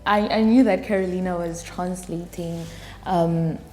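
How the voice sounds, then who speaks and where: A woman's voice: on the low side, steady tone, a little slow, warm tone, soft background music. A young adult woman from South Africa, a science student, speaking casually in an interview and often adding "right?".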